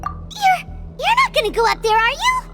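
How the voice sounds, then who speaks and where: A woman voicing a tiny, childlike female companion in a game cutscene, asking worried questions in a shrill voice. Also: big pitch swings, moderate pace. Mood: alarmed. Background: game music.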